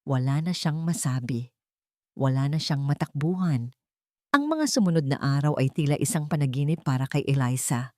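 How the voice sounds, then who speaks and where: A woman narrating a dramatic audio story in a steady, dramatic storytelling manner. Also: low-pitched, no room sound, clean studio sound.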